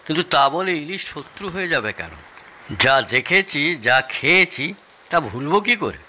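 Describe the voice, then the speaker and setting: A man's voice: a little high, expressive, unhurried, sharp tone, a little hiss or hum, full character voice. A man playing a genial, nostalgic older father-in-law who loves telling stories in an audio drama. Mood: earnest. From Bangladesh. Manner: expansive, nostalgic storytelling. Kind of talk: Bengali audio drama acting.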